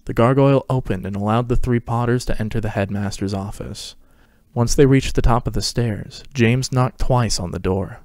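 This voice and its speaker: A man's voice: medium pitch, normal speed, darkish tone, no room sound, clean studio sound. A man hosting and narrating a dramatic reading of a fan fiction story.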